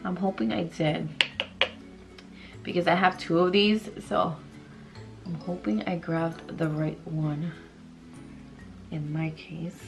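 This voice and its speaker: A woman's voice: on the low side, fairly even intonation, takes it slowly, vocal fry, long pauses, soft background music. A young woman in her twenties with a stuffy nose, chatting casually through a makeup tutorial in a small room, rambling with lots of fillers. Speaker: beauty vlogger. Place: small bedroom vanity indoors.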